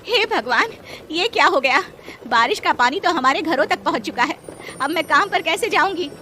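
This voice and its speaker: Cartoon poor maid wife, anxious and dutiful, high pleading voice, voiced by a woman. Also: animated, moderate pace, pushed and bright, a little hiss or hum, exaggerated voice. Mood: fretful. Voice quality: pleading, fretful. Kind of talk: Hindi cartoon voice acting.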